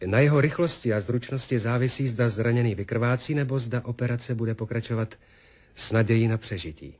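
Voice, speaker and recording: An actor playing a medical narrator who reports on surgery in a formal, measured, clinical way in a 1970s radio drama. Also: male voice, mid-range voice, darkish tone, natural voice. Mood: clinical, grave. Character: male medical narrator reporting a surgical operation. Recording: music under the voice.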